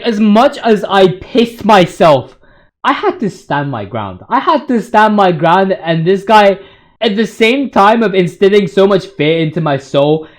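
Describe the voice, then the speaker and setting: Young male gaming YouTuber, high voice, informal and excitable, rambles in heavy slang and exaggeration. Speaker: video creator, commentator. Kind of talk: informal video game commentary.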